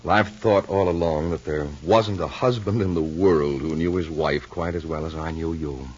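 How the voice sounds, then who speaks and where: A muffled old radio recording of a calm, reasonable husband in a 1950s soap opera. His voice is very deep and husky, and he talks in a measured, frank way. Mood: surprised, bemused.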